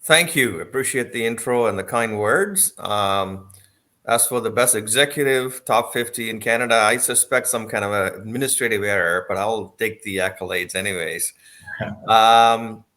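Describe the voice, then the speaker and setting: A deep-voiced man in his fifties, originally from Sri Lanka, talks through his past as a remote interview guest. He speaks in a measured way, pausing often to gather his thoughts, and adds a wry, self-deprecating humor. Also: pushed and bright. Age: 50s. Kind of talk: remote interview, recounting life story.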